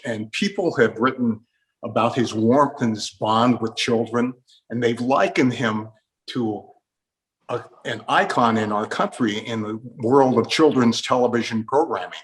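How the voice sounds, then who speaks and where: A man interviewing guests in a measured, explanatory, conversational way. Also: medium pitch, fairly bright tone, rough recording.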